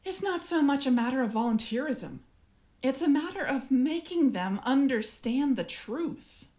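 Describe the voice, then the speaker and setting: A woman playing a cool, smug alien parasite speaking through its human host, measured and formal, in an audio drama. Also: high voice, expressive, dark tone. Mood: smug, persuasive.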